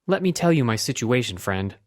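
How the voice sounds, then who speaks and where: A woman's voice: rumbling low, very animated, a little fast, darkish tone, faint music behind, voice pushed down. A woman voicing an anxious, earnest young man in a children's story, with dramatic, plaintive delivery. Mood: pleading.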